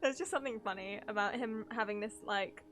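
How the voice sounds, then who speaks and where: A woman livestreaming a game, reacting in an animated, giggly way. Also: mid-range voice, a little fast. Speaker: streamer. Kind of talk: live game reaction commentary.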